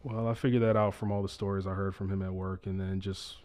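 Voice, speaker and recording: A man in his twenties from upstate New York, answering interview questions in a calm, low-key way. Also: fast talker. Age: 20s. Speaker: guest.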